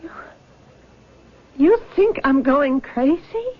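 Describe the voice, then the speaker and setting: A woman's voice: sits high, expressive, slow pace. An actress playing a distraught, guilt-ridden young woman in a radio drama, plaintive, pleading and tremulous. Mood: defensive, fearful. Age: young adult. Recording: muffled old radio broadcast.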